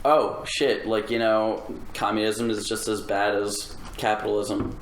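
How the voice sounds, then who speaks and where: A man's voice: on the low side, level tone, measured pace. Casual man in a roomy echo. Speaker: podcast panelist. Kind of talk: casual film discussion among friends. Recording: echoey room, faint background noise.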